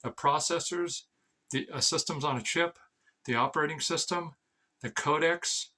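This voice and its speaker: A man's voice: a little high, moderate pace, sharp tone, a little hiss or hum. A man giving a rambling, informal explainer as an amateur vlogger, blunt and sweary, often stammering over repeated words.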